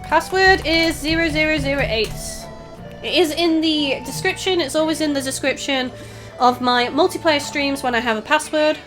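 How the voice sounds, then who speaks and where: A high-voiced woman hosts a casual game livestream in a Scouse accent with a little Welsh in it. She projects her voice as she reads out chat and comments, with music playing underneath. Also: moderate pace, low-quality recording. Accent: Northwest English Scouse with a touch of Welsh. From Northwest England. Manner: raised, projecting streamer voice. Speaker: livestream host, gamer. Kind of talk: casual live gaming commentary, reading out chat. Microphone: desktop USB microphone.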